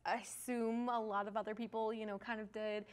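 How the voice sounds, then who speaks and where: A woman's voice: medium pitch, flat delivery, brisk. A woman chatting informally and enthusiastically, like a fan, as a guest co-host. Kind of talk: casual chat.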